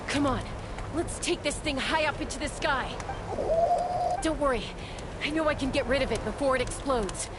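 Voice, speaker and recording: A woman's voice: sits high, very noisy, close to an everyday voice. A woman voicing a brave young woman warrior in a video game, spirited and confident. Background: game score music.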